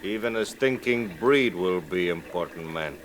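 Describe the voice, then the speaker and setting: A treacherous, cold-blooded frontier guide with a low voice and terse broken English. He measures out his words deliberately, on a faintly noisy old radio western recording. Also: lively intonation. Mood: menacing. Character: treacherous, cold half-breed frontier guide, adult man.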